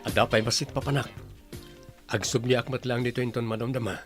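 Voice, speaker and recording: A man's voice: mid-range voice, steady tone, brisk, loud music behind the voice, natural voice. An actor with a Filipino accent playing a practical male partner in an Ilocano radio drama. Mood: matter-of-fact.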